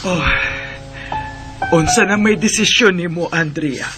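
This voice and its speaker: A woman's voice: fairly low pitch, unhurried, over music, natural voice. An actress with a Cebuano Filipino accent playing a stern, righteous middle-aged mother-in-law in a radio drama, emphatic and reproachful. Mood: firm, grave. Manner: reproachful, emphatic, with rhetorical questions. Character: stern, righteous mother-in-law scolding her grown son. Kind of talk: Bisaya radio drama acting.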